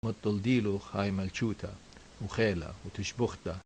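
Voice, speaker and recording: A man slowly chanting a prayer in a measured, even way. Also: lowish voice, slight background noise.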